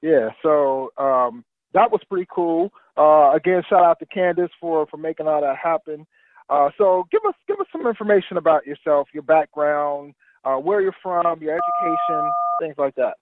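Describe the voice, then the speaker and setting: A man's voice: a little high, lively intonation, moderate pace, no echo. A man hosting a podcast, giving announcements and introducing a guest in a rambling, casual way with many fillers. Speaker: podcast host. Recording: dry phone-line sound.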